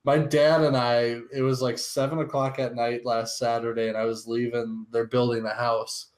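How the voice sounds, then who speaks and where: A man's voice: medium pitch, little pitch movement, normal speed. Casual male podcast co-host. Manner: informal, loose, conversational. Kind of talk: casual chat, personal anecdotes.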